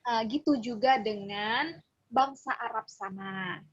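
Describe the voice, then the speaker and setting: Woman, religious teacher, husky slightly high voice, conversational explaining, checks for agreement, dull lo-fi audio. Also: slow. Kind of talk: informal explanatory online lesson.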